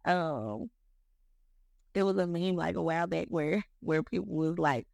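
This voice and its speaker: Very gravelly speaker in their thirties. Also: mid-range voice, measured pace, a little mellow, no echo, studio quality. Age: 30s. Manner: rambling, heavy use of "like" and "you know", repeats words. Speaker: podcast speaker. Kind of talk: casual faith talk.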